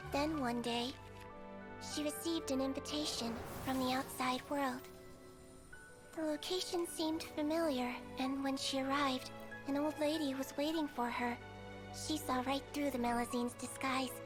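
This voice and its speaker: Very high-pitched childlike little nurse creature. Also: female voice. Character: small gentle childlike creature nurse, calm and cheerful. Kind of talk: scripted anime game acting, storytelling.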